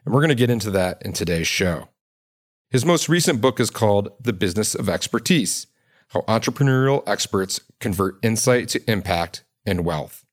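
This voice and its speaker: Low-voiced man hosting a podcast. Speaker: podcast host. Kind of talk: scripted intro then interview questions.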